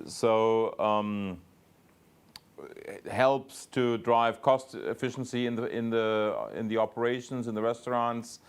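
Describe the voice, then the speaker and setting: A man speaking as a company executive, answering investor questions off the cuff in a halting, emphatic way with repeated words. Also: medium pitch, flat delivery, clean tone. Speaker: company executive on an earnings call.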